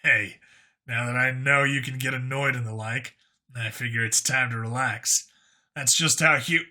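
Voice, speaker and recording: A wisecracking human cop in a science fiction audiobook, acted by a man. His voice is mid-pitched and forceful, with a casual, grumbling delivery. He sounds irreverent and sarcastic. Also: fairly even intonation, moderate pace. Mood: teasing.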